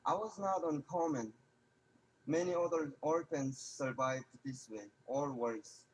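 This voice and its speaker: Young adult male. Measured, earnest prepared speech. Faint background noise. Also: fairly high pitch, poor audio. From North Korea. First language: Korean.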